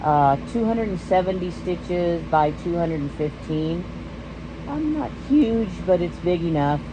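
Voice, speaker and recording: A woman's voice: lowish voice, lively intonation, a little slow, background noise. A Texan woman hosting a stitching vlog, chatting casually and rambling, often losing her train of thought. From Texas. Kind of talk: casual show-and-tell vlog.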